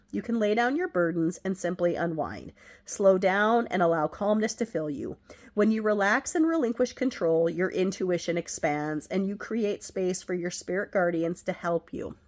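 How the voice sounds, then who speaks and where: A middle-aged woman with a stuffed-up, nasal voice from a head cold, gently reading oracle cards at home and sharing the messages. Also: mid-range voice. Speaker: oracle card reader, channel host. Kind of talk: casual spiritual card reading. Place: at home, indoors.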